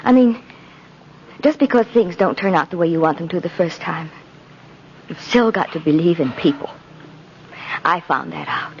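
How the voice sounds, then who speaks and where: An actress playing a gentle, earnest mother, tender and reassuring, in 1950s radio style. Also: on the low side, lively intonation, normal speed, long pauses. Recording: muffled, hissy old radio broadcast.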